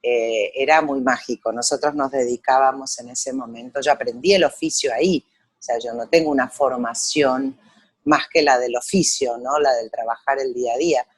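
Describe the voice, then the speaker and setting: A middle-aged woman from Argentina with an Argentine accent, a photographer telling her story in an interview, pausing often with fillers as she remembers. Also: extremely low pitch, fairly even intonation, breathy edge. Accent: Argentine Spanish. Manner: reflective storytelling with frequent pauses and fillers. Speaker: guest photographer. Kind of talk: conversational interview over a call.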